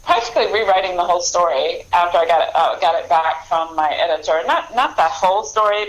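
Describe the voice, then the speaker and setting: Lower-pitched American woman, chatty. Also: a little hiss or hum, poor audio. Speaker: author being interviewed. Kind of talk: casual interview chat.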